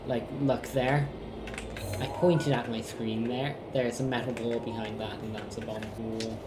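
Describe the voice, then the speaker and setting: A man's voice: medium pitch, flat delivery, a little slow. A young man chatting casually as he plays a co-op video game, thinking aloud hesitantly. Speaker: gamer playing co-op on a shared controller. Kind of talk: casual gameplay chat. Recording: roomy, clearly noisy home recording.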